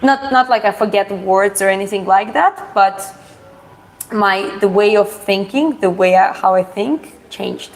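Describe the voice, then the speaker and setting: A woman's voice: medium pitch, unhurried, low-quality recording. Woman chatting casually. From Georgia. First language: Russian. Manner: hesitant phrasing, reads out viewer questions then answers frankly. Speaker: live stream host. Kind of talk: casual live Q&A chat.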